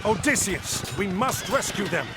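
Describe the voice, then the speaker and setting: An actor playing a resolute, seasoned mythic admiral in a video game, authoritative and declamatory. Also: male voice, sits high, very animated, a little slow, vocal fry, very noisy, exaggerated voice. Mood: urgent. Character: resolute, commanding Greek-myth admiral, a seasoned male hero. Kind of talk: video game cutscene acting.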